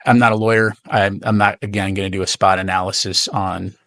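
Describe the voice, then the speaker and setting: A very low-pitched American man with a creaky edge answers press briefing questions as a spokesman. He is guarded and formal, in a dry recording. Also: little pitch movement, fairly quick, fairly bright tone, no room sound. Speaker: Pentagon spokesman.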